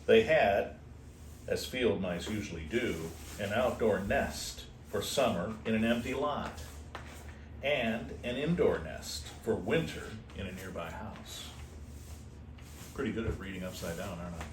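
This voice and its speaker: A man reading a children's storybook aloud expressively, in a playful manner with casual asides. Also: lowish voice. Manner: unhurried, animated, playful. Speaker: host reading a picture book aloud to shelter kittens. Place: indoor room at an animal shelter. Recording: roomy, distant mic with faint noise.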